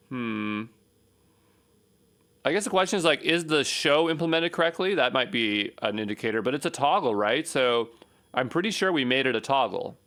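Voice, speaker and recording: A man's voice: medium pitch, fairly bright tone, smooth. Casual man thinking aloud through code. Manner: casual, rambling, often checks himself with "right?". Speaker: programming instructor. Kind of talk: unscripted screencast coding tutorial, thinking aloud.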